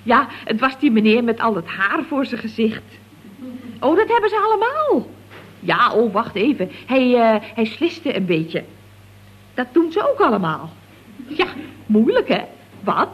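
A woman's voice: on the high side, big pitch swings, measured pace, slightly rough, full character voice. A woman playing a chatty, scatterbrained office worker in 1970s radio comedy, rambling along with giggly, naive earnestness. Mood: chatty, puzzled. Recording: dull, lo-fi old radio recording.

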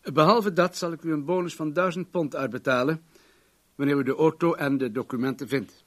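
An actor playing a wealthy, controlling businessman in a radio play, formal, guarded and self-assured in a measured way. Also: on the high side, a bit bright. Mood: confident.

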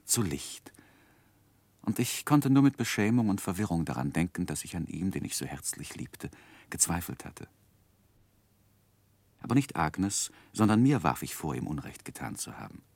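Earnest literary narrator, low voice, reflective, measured, dry close sound, 1960s radio play. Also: male voice, no echo, exaggerated voice. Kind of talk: 1960s German radio drama narration and acting.

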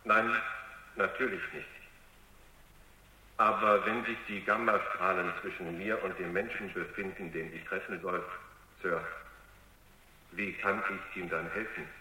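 An actor playing an obedient, logical robot in a German radio drama, speaking in a deliberate, formal and deferential way. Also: male voice, deep voice, fairly even intonation, bright, forceful tone, exaggerated voice. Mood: calm, obedient. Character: obedient, logical male robot answering its examiner. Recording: echoey, reverberant radio drama recording.

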